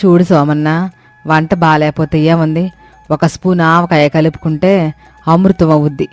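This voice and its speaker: A woman's voice: low-pitched, fairly even intonation, unhurried, darkish tone. A woman playing a reformed, bossy village mother-in-law in a moral story, giving folksy, emphatic, good-humoured advice. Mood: playfully stern. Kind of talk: scripted moral story acting. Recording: music behind the voice.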